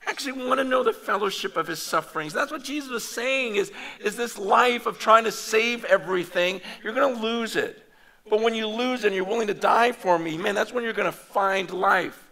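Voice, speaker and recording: A male preacher delivers a conversational sermon to a live audience. His voice is fairly high and bright, with a forceful edge. He jumps between hushed asides and loud, excited bursts, stumbling over restarts along the way. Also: a little fast, vocal fry. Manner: animated, loose and conversational, with many fillers and restarts. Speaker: pastor. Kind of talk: conference sermon. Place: large hall or meeting room before an audience.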